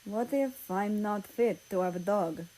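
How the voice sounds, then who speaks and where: A woman reading a story aloud as a gentle, lonely, self-doubting man, subdued and hesitant. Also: medium pitch, a little slow, natural voice, poor audio. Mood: doubtful.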